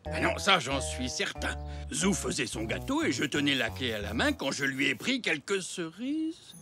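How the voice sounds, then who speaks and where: A man playing a kindly, flustered older zebra grandfather in a French children's cartoon, speaking hesitantly with expressive sighs and a French accent. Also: fairly high pitch, moderate pace. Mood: flustered, rueful. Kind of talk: animated children's cartoon dub. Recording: music behind the voice.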